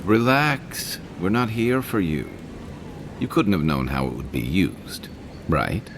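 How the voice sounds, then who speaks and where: A man voicing a gruff, wry, streetwise adventuring companion in a video game. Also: deep voice, expressive, slow pace, background noise, heavily acted. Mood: reassuring.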